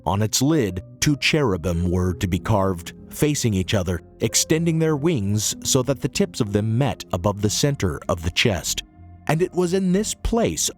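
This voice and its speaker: A hoarse man narrating a history podcast in a measured, earnest way. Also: lowish voice. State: hoarse, recovering from illness. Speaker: podcast host.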